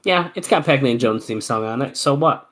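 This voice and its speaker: A man's voice: mid-range voice, moderate pace. Sick man calling wrestling moves. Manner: relaxed play-by-play mixed with banter. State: sick with a cold, congested. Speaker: podcast co-host. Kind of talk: casual wrestling commentary chat.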